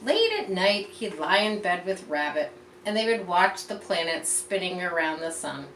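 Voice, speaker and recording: Woman, slightly low voice, children's storytelling, teacherly and expressive, roomy echo, set back from the mic. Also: moderate pace. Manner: expressive, teacherly, explains and points things out to young listeners. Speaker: storyteller reading a picture book aloud for children. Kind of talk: read-aloud storytelling with explanatory asides. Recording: roomy echo, distant mic, faint background noise.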